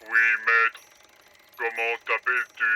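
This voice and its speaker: A man voicing an obedient magical toy robot in a cartoon, with a stiff, monotone, servile delivery. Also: mid-range voice, slow pace, a little hiss or hum. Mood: flat, obedient.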